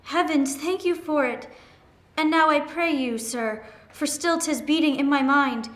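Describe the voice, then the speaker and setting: A woman's voice: high-pitched, measured pace, a bit bright. A woman playing an earnest, polite young noble daughter in a Shakespearean stage reading. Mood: earnest, curious.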